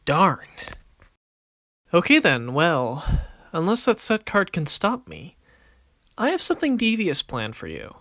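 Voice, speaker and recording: A man's voice: fairly high pitch, huge pitch swings, unhurried, pauses often, fairly bright tone, no echo, studio quality, heavily acted. A man voicing a confident, wisecracking young card duelist in an anime fan production, casual and theatrical. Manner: casual, wry, theatrical when announcing moves.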